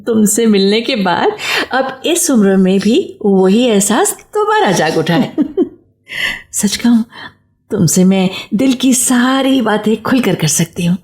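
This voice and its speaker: An actress playing a carefree, chatty young wife who shirks housework, with playful, theatrical radio-play delivery. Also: female voice, fairly high pitch, animated, slow pace, a little mellow, echoey room. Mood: fond, confiding. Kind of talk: Hindi radio play acting.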